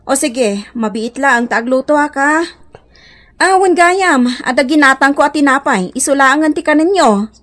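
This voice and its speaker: A woman's voice: high voice, lively intonation, moderate pace, fairly bright tone. A woman playing a weary, aggrieved village mother in an Ilocano radio drama, lamenting in an emotional way with an Ilocano accent. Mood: grateful, tired. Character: weary, aggrieved poor farm wife and mother.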